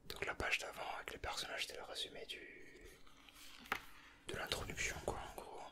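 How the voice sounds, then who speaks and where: A man whispers very close to the mic in an extremely bright, breathy hiss. He talks in casual ASMR style, slipping in teasing, slangy asides as he comments. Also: moderate pace. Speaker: ASMR video creator.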